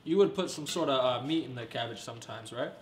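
A man's voice: medium pitch, fast. A young man chats casually as a chef's helper. His voice comes through an echoey kitchen space, with slight background noise. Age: young adult. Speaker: chef's assistant. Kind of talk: casual chat. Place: commercial-style kitchen, indoors.

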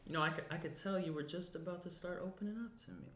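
A man's voice: high-pitched, fast, fairly bright tone. Man acting laid-back young guy, echoey room. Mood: teasing. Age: young adult. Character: laid-back, teasing young man, frank morning-after host. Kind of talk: naturalistic screenplay table read. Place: small indoor room at a table. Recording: roomy, faint background noise.

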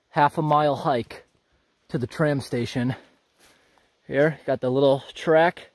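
Homem caminhando e narrando ao ar livre. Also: male voice, mid-range voice, no room sound. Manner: relaxed, observant, thinking aloud. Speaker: hiker filming a walking tour. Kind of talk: casual walk-and-talk narration. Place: outdoors on a forest trail. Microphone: handheld camera.

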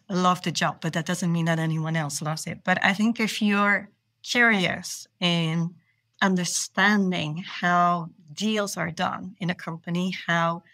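A woman's voice: on the low side, bright, forceful tone, dry recording. A woman from Belgium, a procurement manager, answering interview questions with enthusiasm and earnest small restarts.

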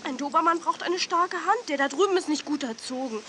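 Scared whiny girl from an 80s kids audio play, super high bright voice, bit of hiss. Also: animated, fairly quick. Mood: frightened. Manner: anxious, insistent, whiny protesting. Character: timid, fretful girl afraid of dogs. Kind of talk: 1980s German children's audio drama acting.

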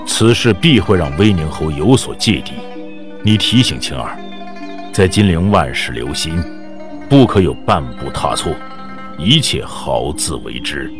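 An actor playing a solemn elderly Taoist sect master in a Chinese audiobook drama, speaking gravely and deliberately with authority. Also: male voice, extremely low pitch, big pitch swings, exaggerated voice. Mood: stern, instructing. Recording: background music under the voice.

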